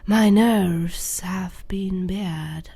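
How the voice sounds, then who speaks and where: A woman voicing a nervous, brooding woman who speaks in a slow, dark whisper in an amateur radio drama. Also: lowish voice, gravelly, breathy edge, heavily acted.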